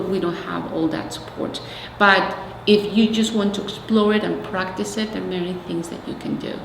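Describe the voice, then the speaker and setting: A woman from Mexico, a native Spanish speaker with a Mexican accent, explaining things as the guest in an oral history interview. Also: mid-range voice. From Mexico City, Mexico. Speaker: interviewee, arts nonprofit representative. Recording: echoey reverberant room, faint noise.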